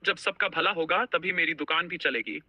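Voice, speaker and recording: A woman's voice: fairly low pitch, normal speed, bright, forceful tone, dry recording, pitched below the performer's own voice. Woman doing a kind village shopkeeper man. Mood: gentle.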